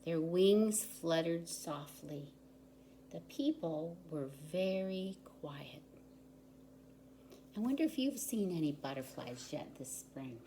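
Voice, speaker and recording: Female storybook reader for preschoolers: low register, very unhurried, expressive delivery, slight background noise. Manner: expressive, deliberate storyteller for young children. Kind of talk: children's picture book read-aloud.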